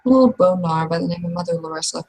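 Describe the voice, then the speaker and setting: Lady doing a wary polite werewolf in a tabletop rp, really husky rough voice, hesitant and probing. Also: lowish voice, quick pace, mellow.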